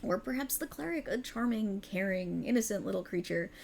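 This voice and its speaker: A woman's voice: medium pitch, animated, fairly quick, darkish tone, a little hiss or hum. A woman voicing a cruel, seductive otherworldly patron in a condescending, theatrical ASMR roleplay monologue. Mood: sadistic, seductive. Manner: condescending, taunting, theatrical, with breathy chuckles. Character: cruel, haughty otherworldly warlock patron, a seductive dark deity.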